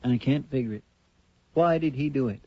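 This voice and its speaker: An actor playing an earnest, loyal deputy sidekick in a 1950s radio western, on a muffled old broadcast recording. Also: male voice, mid-range voice, normal speed, no echo, natural voice. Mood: somber. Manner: plain-spoken, earnest.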